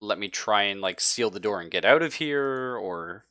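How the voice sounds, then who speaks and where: A man running a tabletop roleplaying game as game master, narrating casually and haltingly with informal, sweary wording. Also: lowish voice, animated, bright, forceful tone, no echo, studio quality.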